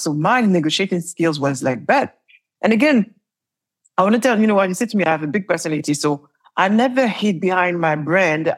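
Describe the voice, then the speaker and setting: Husky-voiced woman telling lively stories. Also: fairly low pitch. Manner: animated storytelling, laughs often, repeats words. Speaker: entrepreneur guest. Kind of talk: conversational interview.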